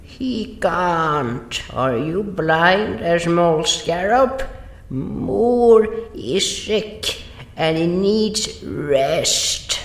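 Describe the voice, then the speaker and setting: A man voicing a timid but loyal creature in an audio drama, speaking earnestly in halting broken English. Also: sits high, lively intonation, roomy sound, exaggerated voice. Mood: scolding, earnest.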